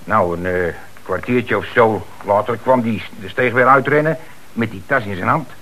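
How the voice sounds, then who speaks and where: A man acting in a radio play as an excitable, streetwise young suspect, slangy, hesitant and defensive. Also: fairly low pitch, normal speed. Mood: excited, hesitant. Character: excitable young streetwise male suspect telling his story.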